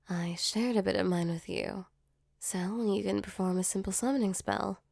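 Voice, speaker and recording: A woman voicing a gentle, protective female demon in a soft, soothing ASMR comfort roleplay. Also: on the low side, vocal fry, dry recording, clean studio sound. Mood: gentle, matter-of-fact. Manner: soothing, tender, reassuring.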